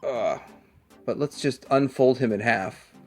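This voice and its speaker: A man's voice: medium pitch, unhurried, faint music behind. A man hosting a casual hands-on toy review, rambling and thinking aloud as he goes. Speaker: toy review channel host.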